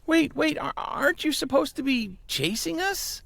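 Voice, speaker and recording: A sheepish teenage boy camp counselor, played by a woman, with a fry-edged voice that jumps around in pitch. He stammers nervously through a comic sketch. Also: lively intonation, moderate pace, dry recording. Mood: anxious. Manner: hesitant, stammering. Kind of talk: comedic audio sketch acting.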